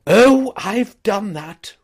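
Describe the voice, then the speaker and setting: A man reading a story, voicing a flustered, excitable young gentleman with a high, breathless bluster. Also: drawn-out words, full character voice.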